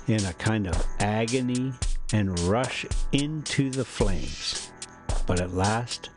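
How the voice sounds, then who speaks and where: A man with an informal, folksy manner, hosting and telling stories, moving between casual chat and reading a classic novel aloud. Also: lowish voice. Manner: informal, folksy, lightly profane. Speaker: host and storyteller. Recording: music behind the voice. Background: music.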